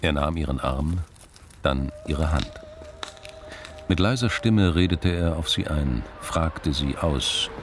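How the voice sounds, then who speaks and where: Male narrator, deep register, literary crime radio drama, scripted read. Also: slow, slight background noise.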